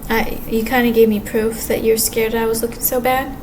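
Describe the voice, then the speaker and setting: A woman with a quiet voice talks casually to camera, rambling and halting with many restarts and fillers. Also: fairly high pitch, level tone. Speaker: vlogger. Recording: clear background ambience noise.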